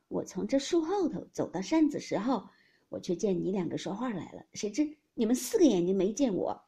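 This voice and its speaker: A gentle young maid smooths things over in a soft, reasonable way, as a woman puts her on. Her voice is mellow and a little husky, with lively pitch. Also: full character voice.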